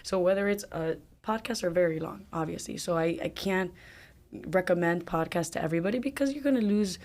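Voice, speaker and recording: A young woman with a Miami accent talks in a candid, emphatic way, with a slightly low voice for a woman. She drops in Spanish words and casual swearing as she speaks. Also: brisk, a little mellow. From Ecuador. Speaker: podcast guest. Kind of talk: casual conversation.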